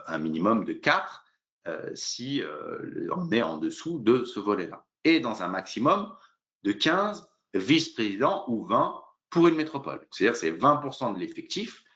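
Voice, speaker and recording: Man, lively intonation, hesitant step-by-step legal explainer, frequent fillers, muffled lo-fi recording. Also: mid-range voice. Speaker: lawyer presenting a legal webinar. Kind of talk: explanatory legal presentation, semi-improvised.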